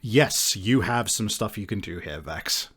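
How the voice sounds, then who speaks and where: Slow, deliberate man narrating a horror game. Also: fairly low pitch, lively intonation, a bit bright, husky. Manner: halting, deliberate, searching for words. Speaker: game master.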